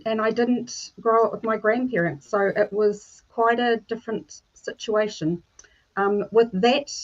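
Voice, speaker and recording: A woman from New Zealand, a life coach, telling her personal story in a measured way over a video call. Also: medium pitch, highs cut off.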